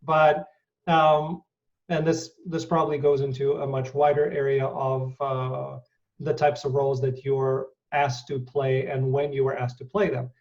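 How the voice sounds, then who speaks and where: A man hosting an interview, affirming his guest and pausing often with fillers. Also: mid-range voice, level tone, darkish tone, recorded from a distance, muffled recording. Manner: affirming, halting with many fillers. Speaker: interview host. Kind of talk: conversational interview.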